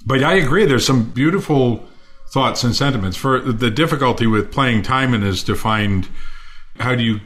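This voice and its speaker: A man's voice: medium pitch, moderate pace, fairly bright tone. An older man, a stage actor, talking reflectively and effusively in a panel discussion, articulate as he thinks aloud.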